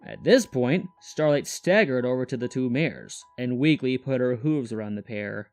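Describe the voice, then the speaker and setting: A man's voice: mid-range voice, lively intonation, normal speed, faint music behind. A man reading scripted third-person story narration as the narrator of a fan audio drama.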